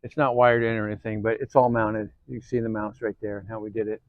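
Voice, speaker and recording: A man's voice: on the low side, level tone, fast talker, no echo, narrow, tinny audio. Man giving casual hands-on how-to, dry sound. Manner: folksy, informal, frequent check-ins like okay and cool. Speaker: host of an off-road DIY channel.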